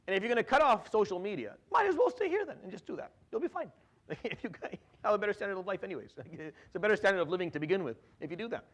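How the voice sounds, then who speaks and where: A man in his thirties who grew up in Syria delivers a relaxed religious lecture at a brisk clip. His voice sits a little high, and he restarts phrases often, dropping Arabic fillers between English sentences and reciting verses rapidly. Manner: conversational, frequent restarts and repeated words, drops Arabic fillers; recites Quranic Arabic quickly. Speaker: imam giving Quran commentary.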